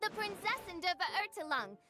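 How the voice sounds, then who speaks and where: Pompous high-voiced princess girl. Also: very animated, brisk. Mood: defiant. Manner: pompous, archaic, florid declaiming. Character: grandiose theatrical teenage girl self-styled princess. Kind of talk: anime game voice acting.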